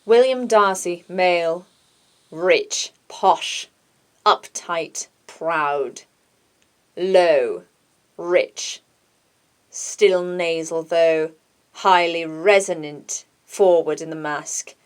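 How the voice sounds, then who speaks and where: Woman doing a low, proud, nasal British gentleman. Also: extremely slow, clean tone. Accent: posh British. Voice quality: nasal, resonant. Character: proud, uptight, rich young gentleman.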